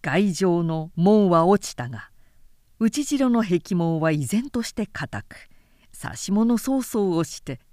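A woman reading a historical novel aloud as an audiobook narrator, in a measured, formal storytelling manner. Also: fairly low pitch, sing-song, warm tone, dry recording, clean studio sound.

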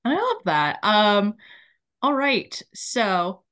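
A woman's voice: medium pitch, huge pitch swings, slow pace, a bit bright. A young woman hosting a casual book podcast, chatty and enthusiastic, with lots of fillers. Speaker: podcast host.

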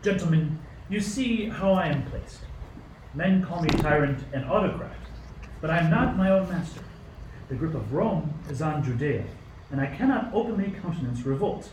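A man's voice: on the high side, animated, normal speed, darkish tone, close to an everyday voice. Man playing imperious King Herod, roomy recording. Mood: measured, scheming. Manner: imperious, declamatory. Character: King Herod, stern, suspicious, bitter tyrant ruler. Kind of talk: amateur radio play acting. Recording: roomy, distant mic, faint noise.

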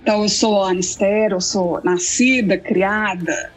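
A woman's voice: medium pitch, fairly even intonation, a little slow, soft background music, low-quality recording. A woman in her forties from Belo Horizonte with a Minas Gerais accent, answering interview questions in a thoughtful, storytelling way. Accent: Minas Gerais Portuguese. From Belo Horizonte, Brazil. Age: 40s. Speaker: guest theologian.

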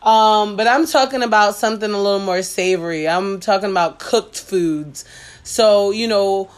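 A woman's voice: medium pitch, normal speed. A woman hosting a casual podcast from her kitchen, talking frankly and playfully and laughing often. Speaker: podcast host. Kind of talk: casual explicit podcast chat. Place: home kitchen, cooking while talking.

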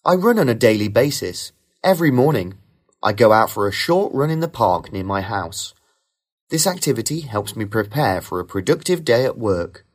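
A man reading rehearsed model answers for a speaking test in a smooth, even way. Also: lowish voice, moderate pace. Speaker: sample test candidate.

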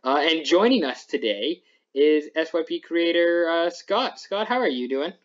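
A man's voice: fairly high pitch, animated, normal speed, dull audio. A young man hosting a podcast, chatting casually about sports in a rambling, self-interrupting way. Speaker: podcast host.

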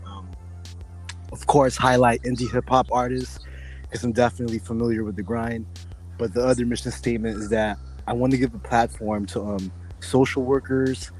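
A man's voice: mid-range voice, rough recording. Man hosting a podcast, laid-back, hesitant restarts, faint music in back. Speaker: podcast host. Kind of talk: casual interview hosting.

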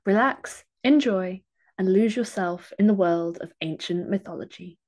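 A woman's voice: mid-range voice, normal speed. A woman podcast host reading a scripted introduction.

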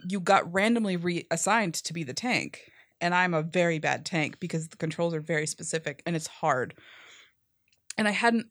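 A young woman with a low, fairly deep voice tells stories casually on a podcast. She is animated and chatty, and she fills her sentences with "like". The sound is close and dry, with no room around it. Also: no echo. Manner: animated storyteller, says "like" often. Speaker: podcast co-host. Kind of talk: casual chat.

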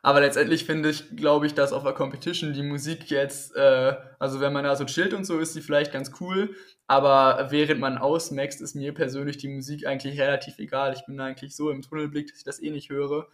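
A man, a podcast guest, chatting casually in a relaxed, rambling way with plenty of filler words. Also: medium pitch, brisk. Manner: relaxed, rambling, filler-heavy, chuckles often. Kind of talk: casual chat.

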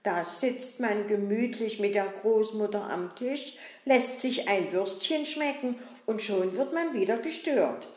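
A good-natured, always hungry puppet boy fusses and makes excuses, played by a woman. He talks slowly in a mid-range voice. The room gives it a clear echo. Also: medium pitch, darkish tone, close to an everyday voice. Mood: chatty. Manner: chatty, fussy, self-excusing. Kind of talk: puppet-show acting. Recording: roomy echo.

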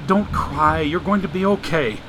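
A man voicing an irritable, short-tempered mansion owner who talks in a gruff, exasperated way. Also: a little high, normal speed, background noise, lightly acted. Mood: soothing.

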